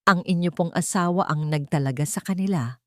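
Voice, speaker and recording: A composed, official-sounding attorney played by a woman narrator in an audiobook drama. The words come politely and in a measured way, in a slightly low voice with a dry, close sound. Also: darkish tone, no room sound, lightly acted. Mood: calm, formal. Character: calm, formal professional attorney on a phone call.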